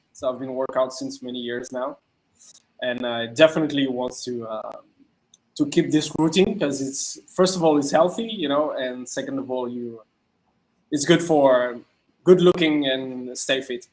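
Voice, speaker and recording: A man's voice: mid-range voice, low-quality recording. Man answering questions. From France. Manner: halting, many "uh" fillers and restarts, simple non-native phrasing. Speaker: DJ and music producer guest. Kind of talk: casual interview answers.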